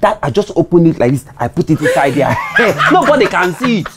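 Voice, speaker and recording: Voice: mid-range voice, big pitch swings, brisk, heavy background noise, close to an everyday voice. A performer playing a smug, secretive man, boasting about where he hid something.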